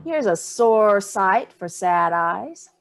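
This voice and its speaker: A woman's voice: medium pitch, animated, takes it slowly. An actress playing Sallie, a dry, cutting older mother fond of cocktails, in a staged play reading. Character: Sallie, sharp-tongued hard-drinking older mother.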